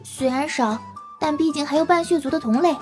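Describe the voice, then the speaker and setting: Audio drama casting: cheeky, naive last human, voiced by a woman; high-pitched, plain-spoken, teasing, music underneath. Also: fairly quick, natural voice. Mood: naive, chatty. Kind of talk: Chinese audio drama acting and narration. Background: background music.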